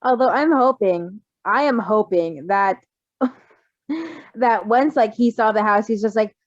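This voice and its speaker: A woman's voice: on the high side, animated, slow, no echo, muffled recording. A woman reading fan fiction aloud and chatting about it casually as a co-host. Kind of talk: story reading, then casual excited chat.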